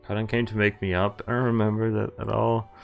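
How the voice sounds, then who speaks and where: A man's voice: fairly low pitch, little pitch movement, fairly quick, creaky. A man livestreaming a visual novel, reading it aloud expressively with casual side comments and occasional stumbles. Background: game music.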